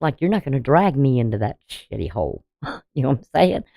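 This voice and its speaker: A woman with a deep voice giving a casual daily astrology reading, talking bluntly and conversationally with frequent "you know". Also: big pitch swings, brisk, vocal fry, warm tone, dry recording, studio quality. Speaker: astrology channel host.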